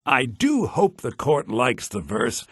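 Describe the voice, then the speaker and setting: An actor playing an earnest, fussy bard in a fantasy video game, speaking in a formal, keen manner. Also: male voice, mid-range voice, wildly swooping pitch, slow, slightly rough, no room sound, studio quality, heavily acted. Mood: anxious, keen. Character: earnest, fussy male bard preparing a festival verse.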